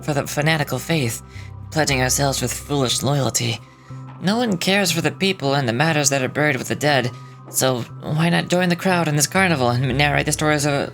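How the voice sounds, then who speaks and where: A woman's voice: sits low, voice pushed down. A woman voicing a proud, earnest male hound officer in a video game, reading his dialogue in a solemn, formal, storytelling manner.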